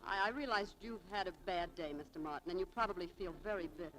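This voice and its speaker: A woman's voice: on the low side, fast talker, fairly bright tone, natural voice, poor audio. An actress playing a prim, anxious, prickly young shop girl in a 1940s radio drama, polite but flustered, on an old broadcast recording. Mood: flustered, annoyed.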